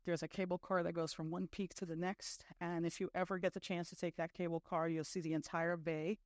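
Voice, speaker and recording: A woman's voice: lowish voice, flat delivery, a little fast, a little mellow, no echo, clean studio sound. A middle-aged woman from Brazil giving a chatty conference talk, full of fillers and self-deprecating asides. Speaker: conference speaker.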